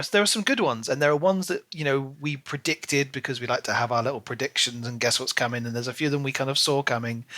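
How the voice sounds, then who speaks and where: Bright-voiced man chatting as podcast co-host. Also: medium pitch. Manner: chatty and hedging, with frequent "like" and "you know". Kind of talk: casual book discussion.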